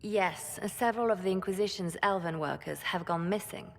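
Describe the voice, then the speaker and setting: An actress playing a cool, composed spymaster in a fantasy game, matter-of-fact and controlled. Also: female voice, lowish voice, moderate pace, gravelly. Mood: grave, controlled. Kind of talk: dramatic fantasy video game acting. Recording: long hall reverb.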